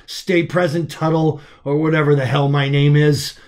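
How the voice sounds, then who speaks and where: A man's voice: a little high, little pitch movement, normal speed, darkish tone, lightly acted. A man voicing an anxious, exasperated male pilot held captive, exclaiming in agitation in an audiobook. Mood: strained. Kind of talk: dramatic audiobook character voice.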